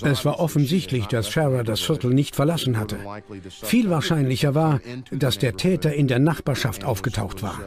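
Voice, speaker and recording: An actor voicing a matter-of-fact police investigator in a documentary dub. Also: male voice, mid-range voice, expressive, moderate pace, over music, natural voice.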